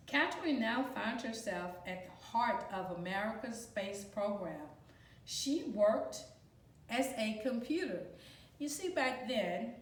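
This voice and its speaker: A woman reading a children's picture book aloud in a deliberate, explanatory, teacherly way, as if to young students. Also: medium pitch. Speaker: volunteer storybook reader. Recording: roomy echo, distant microphone.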